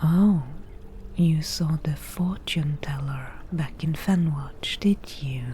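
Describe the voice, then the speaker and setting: A woman plays a wry forest witch who brews potions and teases strangers in an ASMR roleplay. Her whisper is low and mellow, delivered slowly and dryly, as if nothing much impresses her. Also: slight background noise. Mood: curious, mocking. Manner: whispered, dry teasing, unhurried.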